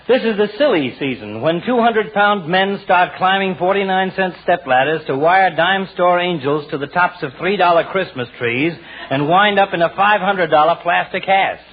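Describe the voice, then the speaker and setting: A man's voice: high voice, expressive, moderate pace. A man playing a pompous, sardonic middle-aged doctor in a 1940s radio comedy, with florid mock-grand insults. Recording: tinny noisy 1940s radio broadcast.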